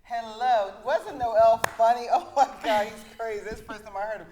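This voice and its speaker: A woman's voice: a little high, moderate pace, background noise. A woman hosting a talk show and interviewing a guest in a conversational way.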